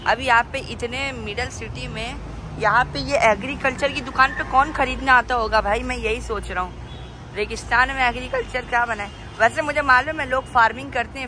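A teenage boy chatting casually to viewers as a food vlogger, filming himself on a phone held at arm's length outside at night. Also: very high voice. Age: teens. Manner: chatty, addresses viewers as brother. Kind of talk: casual vlog narration. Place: outdoors on a city street at night, traffic around. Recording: phone video with loud street noise.

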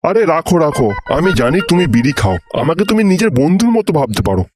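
A man's voice: a little high, sing-song, quick pace, music in the background. A man voicing a sly middle-aged father in a comedy cartoon, coaxing and insistent with a false chumminess. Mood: coaxing, chummy. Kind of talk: Bengali comedy cartoon dubbing.

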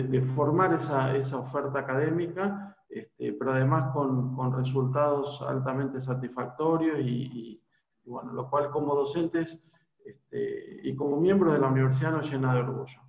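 A man's voice: mid-range voice, gravelly, darkish tone. A man in his forties with an Argentine accent, a university lecturer talking to prospective students on a video call, hesitant with many fillers and restarts. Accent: Argentine Spanish. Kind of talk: informal talk to prospective students. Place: small room at home. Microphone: laptop webcam on video call. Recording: echoey room, dull lo-fi video call.

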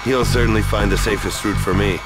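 Man acting sardonic tough guy, video game hero, slightly low voice, dry delivery, loud music behind. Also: fairly even intonation, fairly quick, close to an everyday voice. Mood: wry. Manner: dry, sarcastic. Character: tough, sardonic armed male action hero.

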